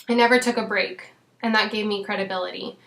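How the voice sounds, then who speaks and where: A woman in her thirties, a fitness coach, talking casually and encouragingly to her team. She is losing her voice and often fills pauses with "um" and "you know". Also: medium pitch, steady tone, gravelly, a bit bright. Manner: chatty, frequent "um" and "you know". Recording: echoey room.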